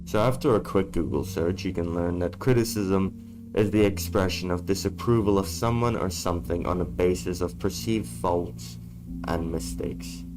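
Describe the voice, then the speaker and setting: A man talking in a casual vlog from a dark room, blunt and swearing freely, his voice worn from being sick. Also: deep voice, normal speed, music in the background, rough recording. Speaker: YouTuber.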